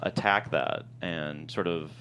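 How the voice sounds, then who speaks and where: A man with a very deep voice speaks as a guest in a casual interview. He explains in a halting, searching way, stacking up fillers. A faint noisy hum sits under the voice. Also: pushed and bright. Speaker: screenwriter guest being interviewed.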